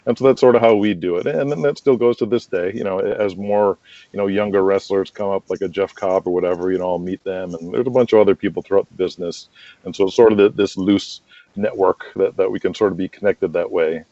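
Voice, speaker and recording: A man's voice: low voice, steady tone, brisk, warm tone, no echo, lo-fi sound. A middle-aged American man telling rambling anecdotes casually as an interview guest. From United States. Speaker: interview guest, wrestling promoter and manager.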